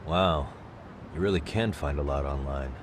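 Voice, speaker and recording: An actor playing a cool, persistent private detective in an English-dubbed game drama, questioning in a deliberate way. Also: male voice, extremely low pitch, background noise. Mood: casual, curious.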